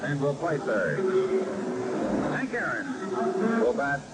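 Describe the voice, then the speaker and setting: A man's voice: mid-range voice, big pitch swings. American sportscaster man on very noisy old radio. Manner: florid, dramatic phrasing with deliberate pauses. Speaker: baseball broadcaster. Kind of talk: measured, grandiloquent 1950s sports radio commentary. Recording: noisy vintage 1950s stadium radio broadcast.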